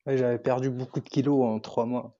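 A man's voice: mid-range voice, level tone, brisk, mellow, lo-fi sound. A young man from Tunisia whose first language is Arabic, chatting as a podcast guest and hesitating often as he searches for words. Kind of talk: casual interview chat.

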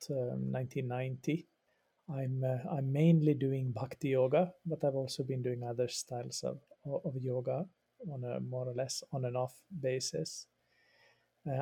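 A man's voice: medium pitch, creaky, mellow, husky. A middle-aged man from Finland whose first language is Swedish, a religious studies professor explaining patiently in a podcast interview, often repeating words as he thinks. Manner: thoughtful and explanatory, with frequent repeats and fillers.

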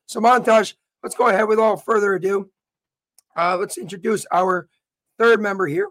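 A man with a raspy voice hosting a live sports show, talking upbeat and straight to his viewers. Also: high-pitched, fairly even intonation, moderate pace, fairly bright tone. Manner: upbeat and promotional, addressing viewers directly. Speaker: host.

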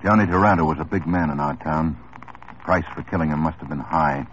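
An actor playing a hard-nosed police detective in an old radio drama, interrogating with quick, probing questions. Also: male voice, extremely low pitch, a little hiss or hum. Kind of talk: 1950s radio drama acting. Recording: muffled old radio broadcast.

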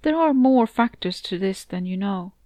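A woman narrator puts on a talking male cat from a storybook. He speaks in a measured, gently hesitant way, calming and a little cheeky. The sound is dry, with no room to it. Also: mid-range voice, no room sound, close to an everyday voice. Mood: calm, reassuring. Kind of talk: storybook character dialogue.

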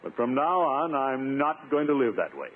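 A man's voice: medium pitch, big pitch swings, moderate pace. A man playing a pompous, blustering middle-aged uncle in 1950s radio comedy style, indignant and self-important. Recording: tinny, noisy 1950s radio recording.

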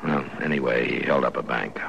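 A very deep, bright-edged male voice as a stern American lawman in a radio western. He speaks in measured, laconic phrases, firm but regretful. The old broadcast has some hiss and noise. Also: fairly even intonation, creaky, highs cut off, exaggerated voice. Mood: regretful, steady. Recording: noisy vintage radio recording.

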